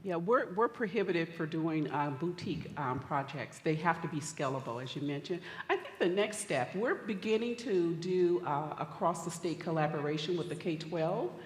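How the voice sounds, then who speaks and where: A woman with a somewhat low voice answers a panel question thoughtfully, pausing on ums and uhs. The room is echoey with a long reverb. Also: expressive. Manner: thoughtful, hesitant with "uh" and "um". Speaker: conference panelist. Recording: roomy hall.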